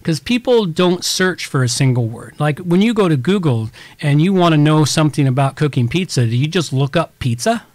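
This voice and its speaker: A man with a somewhat high voice, recorded dry and close with almost no room sound. He explains technical topics to a co-host in a loose, rambling way, restarting sentences and reaching for homely examples. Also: expressive, fairly quick, hardly any pauses, darkish tone, no room sound. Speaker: podcast host. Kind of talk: casual explanatory chat.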